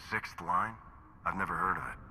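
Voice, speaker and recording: In a long reverb with faint music, a tough, guarded game soldier. His voice is lowish, very hard-edged and husky. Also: expressive, moderate pace, pushed and bright, a little hiss or hum, exaggerated voice. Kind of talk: dramatic game cutscene acting.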